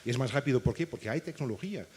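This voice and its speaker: A man's voice: medium pitch, animated, very fast, darkish tone. A man from Portugal speaking with a Portuguese accent as a conference panelist, emphatic and checking in with his listeners again and again. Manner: emphatic, keeps adding "¿vale?". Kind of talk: panel discussion. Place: conference stage.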